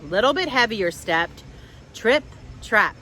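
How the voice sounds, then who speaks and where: Expressive, unhurried woman children's storyteller. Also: mid-range voice. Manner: expressive, simple phrasing. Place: outdoors in a park. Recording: outdoors with faint wind noise.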